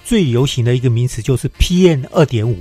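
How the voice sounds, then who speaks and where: A man's voice: mid-range voice, expressive, fast, dark tone, slightly rough, faint music behind. A man with a Taiwanese Mandarin accent co-hosting a chatty radio show, offering advice and interjections in a supportive way. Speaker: radio co-host.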